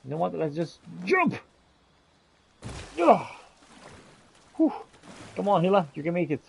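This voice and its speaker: Animated, high-pitched man playing a game. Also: measured pace, vocal fry, breathy edge. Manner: theatrical, exclamatory, talks to game characters. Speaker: gamer playing in character. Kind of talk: roleplay gameplay commentary. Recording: game sounds behind the voice.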